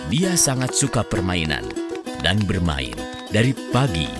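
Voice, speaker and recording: A man tells a children's cartoon story in a very low, deep voice. His delivery is animated and swings widely in pitch. Loud music plays behind him throughout. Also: a little slow. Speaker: narrator. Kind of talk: scripted storybook narration.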